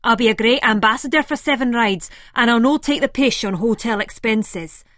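A woman's voice: on the high side, normal speed, a bit bright, no room sound, clean studio sound, lightly acted. A woman reading an audiobook, voicing a breezy, boastful Scottish man with a Scottish accent. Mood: cocky. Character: cocky, easygoing Scottish man.

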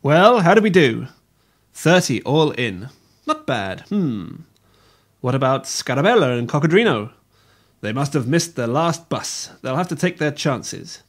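A man's voice: mid-range voice, big pitch swings, measured pace. A man doing brash, gleeful troupe women.

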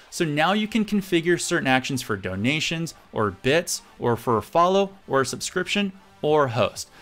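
A man in his thirties giving an upbeat, casual instructional software tutorial. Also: fairly high pitch. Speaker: tutorial host. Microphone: USB studio microphone.

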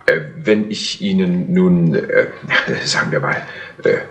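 An actor playing a grateful, flustered British colonel in a 1960s German crime radio play, hesitant and wordy. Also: male voice, low-pitched, takes it slowly, creaky, fairly bright tone, full character voice. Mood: effusive, grateful. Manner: hesitant, wordy, with stammered fillers. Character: male British colonel, grateful, flustered senior intelligence official. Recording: noisy old 1960s tape recording.

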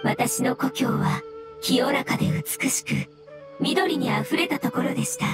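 A polite, learned mushroom being from a fantasy game. Its high voice rises and falls widely while keeping formal, careful phrasing. Music plays clearly behind it. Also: female voice, big pitch swings, a little slow. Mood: wistful. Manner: formal, courteous, explanatory. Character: polite, scholarly floating mushroom creature of an ancient race. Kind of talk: Japanese game voice acting, measured dialogue.

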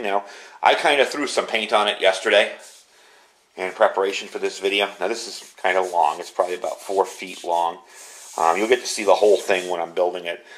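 A man's voice: mid-range voice, a little hiss or hum. Middle-aged man, mid-range voice, chatty, informal, explanatory, casual hands-on product walkthrough, indoor workbench. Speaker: video host demonstrating gear.

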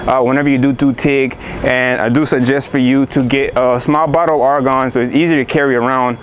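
A man's voice: medium pitch, steady tone, a little fast. A man talking casually as a welding instructor, loose and easygoing, with lots of filler words, standing outdoors by his work truck. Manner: laid-back, loose speech with many "uh" fillers. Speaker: welding instructor and business owner. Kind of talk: casual vlog walkthrough. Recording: noisy outdoor recording.

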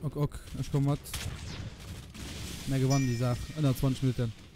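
A man giving casual, excitable live gameplay commentary, often repeating phrases and swearing. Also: mid-range voice, moderate pace, over music. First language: Luxembourgish. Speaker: gamer recording a let's play. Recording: clear game noise behind. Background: video game sounds.

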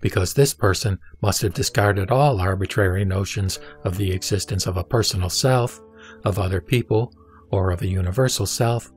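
A man playing the Buddha, a solemn spiritual teacher, reciting scripture in a measured audio drama delivery. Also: sits low, husky, faint music behind. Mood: solemn, instructive. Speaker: scripture reader.

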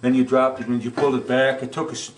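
A man's voice: mid-range voice, level tone, fast, background noise. An older man guiding a tour through an old wooden barn, explaining farm tools in a folksy, rambling way. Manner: folksy, rambling, full of "you know" and "okay?". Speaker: museum guide. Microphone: camera mic, handheld camera.

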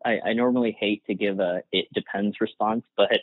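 A man whose first language is Gujarati answers an interviewer in a hesitant, restarting way with a slightly low voice. He comes through a tinny, phone-like remote call line. The sound is dry and close, with no room around it. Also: fairly even intonation, fairly quick, no echo. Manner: hesitant, many restarts and fillers, laughs lightly. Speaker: guest, workplace psychologist.

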